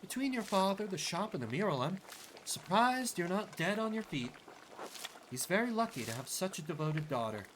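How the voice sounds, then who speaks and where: A man voicing a gentle, devout former nun who speaks in a measured, solemn and formal way, in a game playthrough. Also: high-pitched, big pitch swings, a little hiss or hum, voice pushed up. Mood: earnest, grateful.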